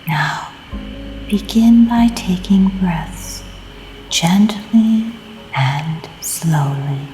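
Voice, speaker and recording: Very slow, breathy woman guiding meditation. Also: on the low side, rough recording. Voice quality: soft, breathy, often near-whispered. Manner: calm, soothing, deliberate short phrases with long pauses. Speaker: guided meditation narrator. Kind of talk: scripted guided meditation. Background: music.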